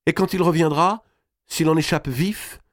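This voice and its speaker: Shrill young damsel done by a male narrator, very high pitch, thin, bold, eager, declamatory storybook delivery. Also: fairly even intonation, normal speed, dry recording, clean studio sound, close to an everyday voice. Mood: eager, solemn.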